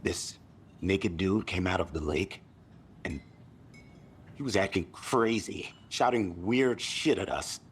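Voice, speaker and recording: A man playing a rattled tourist witness in a video game, halting and colloquial as he gives his account. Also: low voice, a little hiss or hum. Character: rattled male tourist recounting a frightening attack. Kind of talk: naturalistic video game acting.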